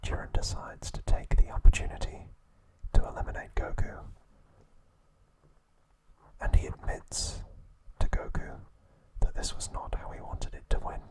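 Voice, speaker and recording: A man's voice: a little slow, rough recording. A man hosting an ASMR video, giving a whispered recap with playful asides. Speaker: ASMR channel host.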